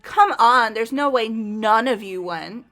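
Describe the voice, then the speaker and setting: A blunt, hot-headed athletic girl, played by a woman who keeps pressing her accusation. Her voice sits slightly high and stays insistent. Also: expressive, takes it slowly, fairly bright tone, soft background music, full character voice. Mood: accusing. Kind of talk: fan voice acting of a game.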